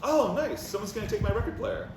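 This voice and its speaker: A man acting an anxious, needy, self-deprecating boyfriend in a halting way at a comic play table read. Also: high voice, over a noisy crowd, lightly acted. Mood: mild, agreeable. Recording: roomy, distant, noisy recording.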